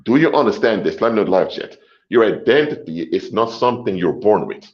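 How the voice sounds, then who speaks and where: A man hosting a livestream, lecturing deliberately and emphatically, repeating his points and closing them with short clinchers. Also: lowish voice, very animated, lo-fi sound. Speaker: livestream host. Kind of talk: lecturing monologue to a live audience.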